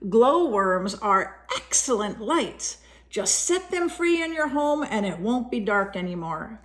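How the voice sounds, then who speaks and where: A cheerful, helpful spectacled bear from a picture book, put on by a woman storyteller. He has a somewhat high voice. His way of talking is friendly and matter-of-fact. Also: sing-song, slow pace, fairly bright tone, exaggerated voice.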